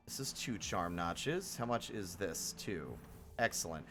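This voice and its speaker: A man doing casual, animated live game commentary, reacting freely and swearing as he talks to viewers. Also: deep voice, measured pace, soft background music. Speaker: game streamer.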